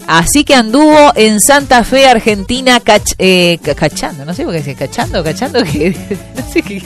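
A woman's voice: on the high side, animated, normal speed, soft background music. Chatty Uruguayan woman radio host. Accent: Uruguayan Spanish. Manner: chatty, many fillers and restarts. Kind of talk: casual radio chat reading listener messages.

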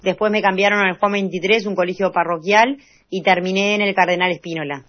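A woman's voice: medium pitch, steady tone, a little fast. A woman with a Buenos Aires Argentine accent answering questions as a guest in an interview. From Buenos Aires province, Argentina. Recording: slightly dull, lo-fi radio sound.

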